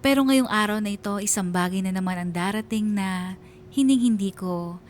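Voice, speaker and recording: A woman's voice: mid-range voice, normal speed, darkish tone, slight background noise, lightly acted. A woman playing a weary, anguished letter writer, narrating a scripted radio drama in a confessional, sorrowful manner. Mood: weary, sombre.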